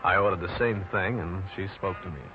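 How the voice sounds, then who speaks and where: An actor with an American accent playing a wry, tough private detective in an old-time radio drama, wisecracking and hard-boiled. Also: male voice, sits low, a little fast, music in the background, lightly acted. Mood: wry, narrating. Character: wry tough New York private detective, adult man. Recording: dull, noisy old radio recording.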